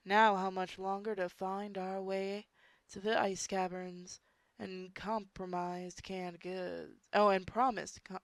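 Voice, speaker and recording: A woman's voice: medium pitch, flat delivery, slow, no room sound. A woman reading a horror story aloud as an amateur, informal, stumbling over words and breaking off into casual asides. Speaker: story reader.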